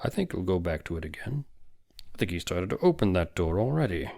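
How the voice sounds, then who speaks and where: Man playing an emphatic older doctor, swooping pitch. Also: sing-song, a little fast, gravelly, warm tone, heavily acted. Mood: fierce, determined. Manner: emphatic, exclamatory, argumentative. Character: older male doctor, vehement, scheming, condescending psychiatrist. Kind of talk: audiobook character voice.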